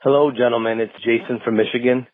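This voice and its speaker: A man's voice: medium pitch, fairly even intonation, quick pace, dry recording, phone-quality sound. A man in his forties, calling in with a casual voicemail over the phone. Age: 40s. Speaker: podcast caller.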